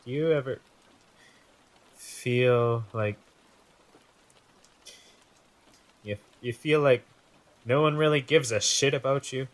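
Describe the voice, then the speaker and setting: A man acting a troubled, defensive, self-pitying brother in an audio drama, speaking in halting, broken phrases. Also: mid-range voice, natural voice. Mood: vulnerable.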